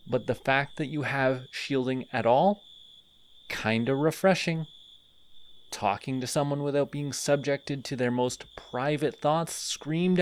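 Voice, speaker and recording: A man voicing a sardonic male telepathic villain in an audio roleplay, mocking and deliberate. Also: medium pitch, natural voice.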